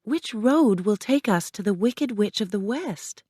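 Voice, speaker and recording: A woman voicing a gentle young girl, the earnest and plaintive heroine of a storybook. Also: medium pitch, lively intonation, measured pace, husky, dry recording, clean studio sound, pitched above the performer's own voice. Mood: questioning.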